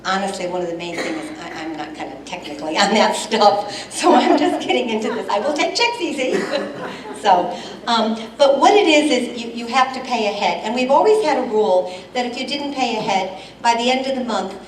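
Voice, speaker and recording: A woman heading an event committee, loudly and chattily explaining rules at a club meeting. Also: on the high side, expressive, normal speed. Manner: chatty, explains rules, loud without a microphone. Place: meeting hall. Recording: echoey hall, voice from far off.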